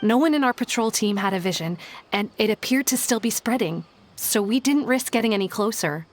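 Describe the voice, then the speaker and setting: A woman's voice: mid-range voice, expressive, a little fast, lightly acted. A woman playing a dutiful, cautious forest ranger giving an earnest report in an anime video game. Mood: worried.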